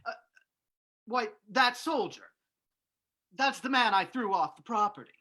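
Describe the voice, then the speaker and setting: A nosy man who manages a property in a radio play, played by a woman. The delivery is brisk and very animated, with a bright, forceful edge. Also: fairly high pitch, full character voice. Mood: excited, accusing. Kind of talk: old-time radio drama reading.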